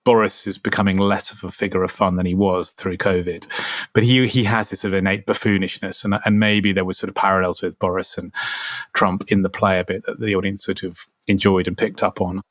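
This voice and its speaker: A man's voice: low voice, flat delivery, brisk, dry recording, studio quality. A man speaking reflectively in an interview, repeating words as he goes.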